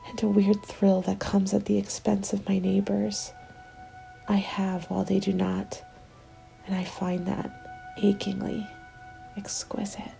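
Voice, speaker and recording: A woman playing a cynical, scheming apocalypse survivor in an ASMR roleplay, breathy and often whispering, taunting and self-justifying. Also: mid-range voice, dark tone, rough recording. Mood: gloating, sensual. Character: cynical, defiant woman survivor hoarding food, selfishly scheming.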